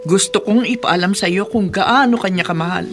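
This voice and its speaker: Radio drama elder: actress playing earnest, weary older woman, medium pitch, solemn, clear music underneath. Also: moderate pace, close to an everyday voice. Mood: solemn, pleading. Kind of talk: Tagalog radio drama acting.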